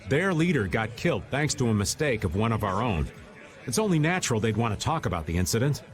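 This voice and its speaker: Man acting as laid-back older rogue, folksy, colloquial, very lively pitch, game music underneath. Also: fast, lightly acted. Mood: exasperated. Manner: casual, folksy, theatrical. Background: game background music.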